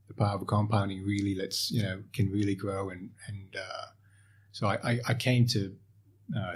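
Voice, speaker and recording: A man's voice: deep voice, breathy edge. A man from Asia, a portfolio manager, answering interview questions in a loose, hesitant way. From Asia (Hong Kong, Malaysia, Singapore). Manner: hesitant, many fillers and restarts. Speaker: podcast guest, portfolio manager.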